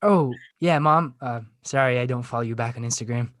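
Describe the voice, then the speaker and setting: A man's voice: mid-range voice, a little fast, a bit bright, lightly acted. A man playing an awkward, sarcastic, petulant teenage boy in a comedy script read, whiny and prone to stammering.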